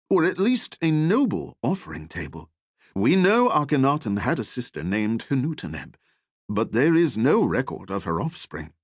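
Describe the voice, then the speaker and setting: A man's voice: medium pitch, slightly rough, no echo, studio quality, voice pushed up, heavily acted. A man voicing an eager elderly archaeology professor, courteous and scholarly, who lectures with animation in an audiobook. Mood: intrigued.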